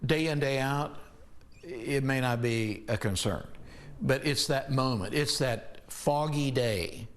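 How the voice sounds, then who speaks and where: A man's voice: medium pitch, slow pace. An American man giving expert commentary on aviation in an interview.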